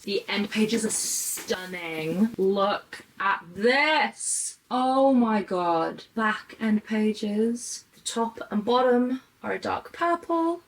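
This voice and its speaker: A woman's voice: mid-range voice, drawn-out words, hardly any pauses, rough recording. A woman chatting casually and enthusiastically as she shows off books, gushing in the manner of a book vlogger. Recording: clear background noise.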